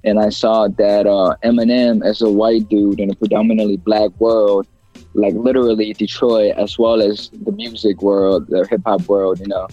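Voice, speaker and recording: Low, mellow-voiced young man rambling. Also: level tone, normal speed, no room sound, lo-fi sound, soft background music. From China. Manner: rambling, many fillers and restarts. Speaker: guest rapper. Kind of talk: casual interview talk.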